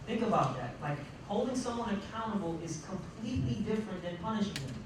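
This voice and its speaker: A man speaking unscripted as a panelist, emphatic, pausing mid-sentence and posing rhetorical questions to make his points. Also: sits high, animated. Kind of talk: panel discussion remarks, unscripted. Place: large indoor room at a college panel event. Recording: echoey, noisy room, distant microphone.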